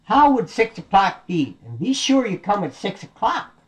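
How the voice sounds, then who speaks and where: A man's voice: sits high, very animated, normal speed, pitched above the performer's own voice. A man voicing a clever little storybook pig, childlike and sing-song, cheeky and defiant. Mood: eager. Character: cheeky, squeaky little male piggy, small and clever. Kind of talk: storybook character voice.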